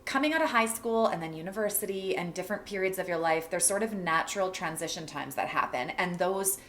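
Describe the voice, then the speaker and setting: A woman's voice: on the low side, animated, brisk, fairly bright tone, slight background noise. Reflective woman podcast host. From Canada. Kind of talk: casual interview chat.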